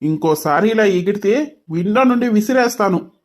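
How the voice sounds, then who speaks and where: A man's voice: sits high, expressive, normal speed. Man acting an arrogant, short-tempered young boss. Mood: mocking, defensive. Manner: curt, mocking, mixes in English phrases. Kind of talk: dramatized story dialogue.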